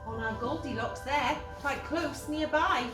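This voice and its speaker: A woman's voice: high-pitched, a little slow. A woman playing a rhyming storyteller of the woods in an amateur pantomime, declaiming her verse theatrically. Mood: conspiratorial. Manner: declamatory, lilting rhymed verse. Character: storytelling observer of the woods, speaking in rhyme. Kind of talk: amateur stage pantomime acting. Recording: echoey distant stage recording, music behind.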